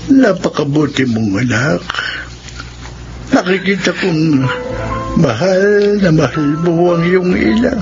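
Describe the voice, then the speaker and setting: An actor playing a kindly old widowed gravedigger in a Filipino radio drama, gentle, halting and chatty. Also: male voice, on the high side, very animated, music in the background, exaggerated voice. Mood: gentle, reminiscing. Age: older. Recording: dull radio recording, faint background noise.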